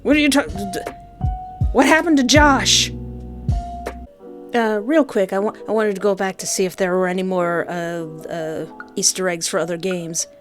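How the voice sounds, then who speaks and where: A woman giving excitable, casual commentary as she plays a visual novel, stammering when excited, with game music behind her. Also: medium pitch, normal speed.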